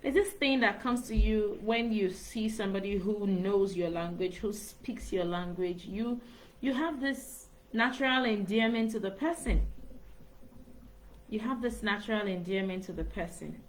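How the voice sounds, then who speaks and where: A woman's voice: mid-range voice, unhurried, poor audio. A woman, a speaking coach talking live into her laptop microphone in an earnest way and repeating phrases for emphasis. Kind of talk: live-stream monologue.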